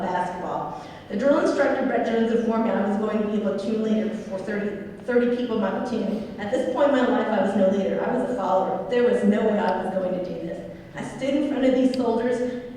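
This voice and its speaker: A woman's voice: medium pitch, a little mellow. A woman in her forties from Iowa gives a prepared speech at a memorial ceremony, reading her remarks with frequent small restarts and self-corrections. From Iowa, United States. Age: 40s. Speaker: veteran speaker at a ceremony. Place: large university event hall. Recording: echoey hall from far off, faint noise.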